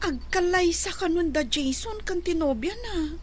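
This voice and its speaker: A woman's voice: very high-pitched, animated, normal speed. A woman acting a concerned young woman friend who confides gossip, in an Ilocano radio drama with an Ilocano accent. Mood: confiding. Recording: faint noise behind the voice.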